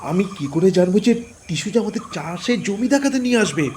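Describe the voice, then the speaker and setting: উঁচু, ওঠানামা করা গলায় উদ্বিগ্ন পুরুষ অভিনেতার কণ্ঠে. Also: male voice, sits high, animated, a little fast, a little mellow, slight background noise. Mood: uneasy. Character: nervous, hesitant man on the run. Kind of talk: Bengali audio horror story acting.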